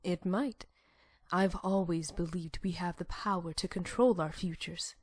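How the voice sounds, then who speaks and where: A woman playing a calm, wise pony princess, composed and gently instructive, in a cartoon audio drama. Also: lowish voice, measured pace, breathy edge, dry recording. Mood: serene.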